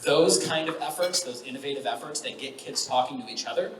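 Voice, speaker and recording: A man's voice: mid-range voice, fairly quick. A man speaking as a panelist in a practised public-speaking style, posing rhetorical questions and answering them emphatically. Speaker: panelist, mental health advocate. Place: large event hall with audience. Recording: echoey room, distant mic, audience noise.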